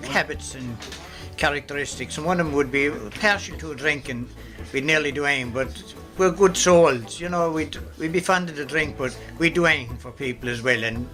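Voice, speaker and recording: A man's voice: on the high side, pushed and bright. A man with an Irish accent giving conversational, reflective interview answers as a drama actor. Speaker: amateur radio drama actor being interviewed. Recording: music clearly behind.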